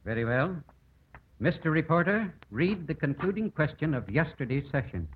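An actor playing a stern, authoritative judge in a vintage radio drama, speaking formally and officially. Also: male voice, fairly high pitch, sing-song, normal speed. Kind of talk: 1940s-50s radio drama acting. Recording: tinny, slightly noisy old radio broadcast.

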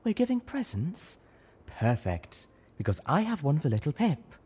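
A man's voice: a little high, huge pitch swings, a little slow, a little mellow, breathy edge, pitched above the performer's own voice, full character voice. A man voicing a refined, theatrical female unicorn in an audiobook, sing-song and gracious.

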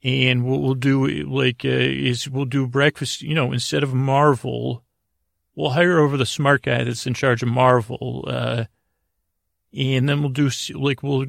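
A middle-aged man with a creaky, gravelly voice hosts a sleep podcast, rambling in a halting, meandering bedtime monologue that keeps interrupting itself. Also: medium pitch, dry recording, studio quality. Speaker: podcast host.

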